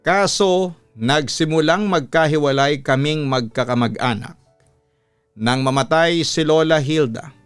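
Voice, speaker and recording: Smooth-voiced Filipino man telling a story over music. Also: on the high side, unhurried, no room sound. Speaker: radio announcer and storyteller, host. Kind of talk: scripted horror-story narration of a listener's letter. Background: background music.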